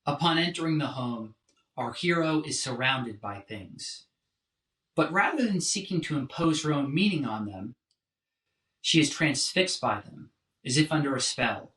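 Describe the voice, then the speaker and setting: A man giving a scripted philosophy lecture in a measured, deliberate way. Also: mid-range voice, expressive, a bit bright. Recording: roomy echo, distant from mic.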